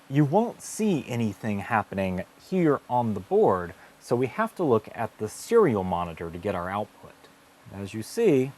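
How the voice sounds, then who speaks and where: Low-voiced male tutor with animated intonation. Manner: methodical, explanatory, carefully phrased. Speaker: instructor and host of an electronics tutorial series. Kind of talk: scripted instructional narration.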